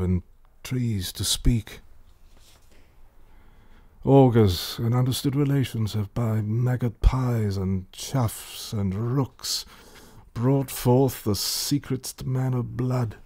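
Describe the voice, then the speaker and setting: A man's voice: medium pitch, gravelly, mellow, heavily acted. An actor playing a guilt-haunted, volatile king in a classical Shakespearean radio drama, with a theatrical, declamatory manner. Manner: theatrical, declamatory, swinging between outcry and brooding.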